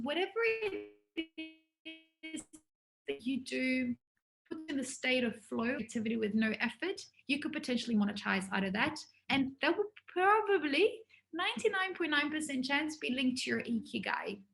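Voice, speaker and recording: A woman's voice: high voice, gravelly, a bit bright, poor audio. A woman giving an informal business workshop to students, talking in a conversational, enthusiastic way.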